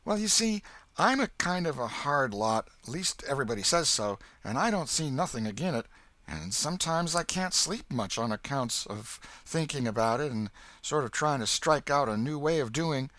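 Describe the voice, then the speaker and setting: A man voicing a frightened, uneducated vagabond boy with a rural American accent in an audiobook, talking in an anxious, halting, ungrammatical country way. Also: mid-range voice, expressive, fairly bright tone, no echo, voice pushed up, lightly acted. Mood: hesitant, earnest. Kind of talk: audiobook character voice.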